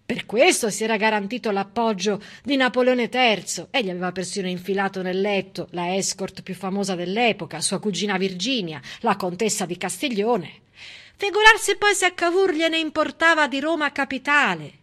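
A woman's voice: medium pitch, moderate pace, a bit bright. A woman stage performer delivering a civic monologue with emphatic, dramatic storytelling. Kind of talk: theatrical monologue, civic oration.

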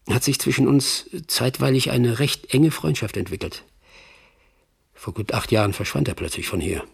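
An actor playing a measured, sceptical middle-aged Hollywood agent who narrates a radio drama, choosing his words deliberately. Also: fairly low pitch, vocal fry, breathy edge, full character voice. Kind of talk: reflective first-person radio drama narration.

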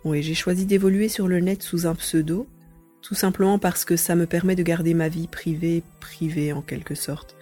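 Mellow, even-voiced woman being interviewed. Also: fairly low pitch, moderate pace, soft background music. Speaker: interview guest. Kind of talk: conversational interview answers.

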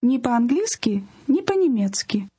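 A woman with a high, mellow voice models phrases for learners. Her pitch rises and falls lively, and she repeats phrases in parts. The sound is dry and close. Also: a little slow, husky, no room sound. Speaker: native-speaker model in a lesson. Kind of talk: scripted lesson demonstration.

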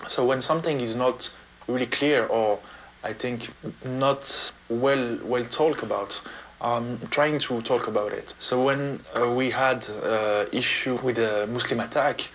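A French man with a French accent, giving unscripted interview answers in halting non-native English. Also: mid-range voice, fairly bright tone, slight background noise, low-quality recording. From France. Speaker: street artist, interviewee. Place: artist's studio in Paris suburbs.

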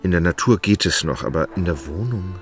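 An actor playing an idle, lovesick gentleman in a radio play, anxious and tender, speaking haltingly and evasively. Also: deep voice, lively intonation, music in the background. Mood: awkward, hesitant. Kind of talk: radio drama acting.

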